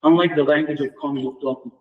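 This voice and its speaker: Man, Romanian accent, native Romanian speaker, middle-aged, halting reflective tribute, voice set back from the mic. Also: on the high side, fairly even intonation, distant mic, narrow, tinny audio. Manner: reflective, measured, with halting restarts. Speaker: English department lecturer. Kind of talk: memorial tribute speech. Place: lecture room at a memorial round table.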